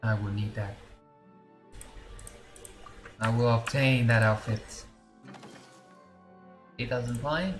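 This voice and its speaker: A man's voice: medium pitch, slow, long pauses. A man streaming a game with casual commentary, thinking aloud in short fragments. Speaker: gaming streamer. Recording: game music behind the voice.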